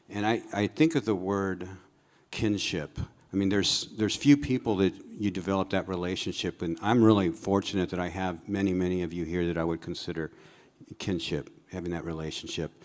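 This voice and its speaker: A man hosting an event, warmly introducing a guest speaker in a halting, unscripted way. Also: low voice. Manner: warm, halting, with fillers and restarts. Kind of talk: stage introduction.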